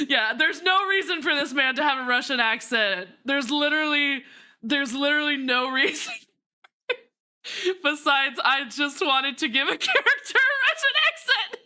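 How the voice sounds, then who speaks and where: A woman's voice: high-pitched, expressive, a little slow, bright, forceful tone. A young woman streamer chatting and laughing through a story game, poking fun at her own voice work. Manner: giggly, chatty, self-mocking. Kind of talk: casual gameplay commentary.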